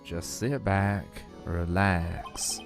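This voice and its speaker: A man's voice: low-pitched, steady tone, extremely slow, creaky, over music, exaggerated voice. A man voicing a smug, menacing older police chief from a video game, with theatrical, jovial taunting. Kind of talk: amateur voice acting of game dialogue.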